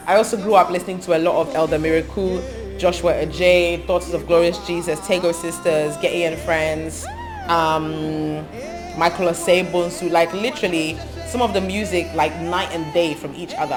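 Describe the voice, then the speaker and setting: Low-voiced woman over music. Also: fairly even intonation, normal speed. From England. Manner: thoughtful, long lists with frequent ums. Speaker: gospel singer. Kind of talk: interview monologue about her life. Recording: music playing under her voice. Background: background music.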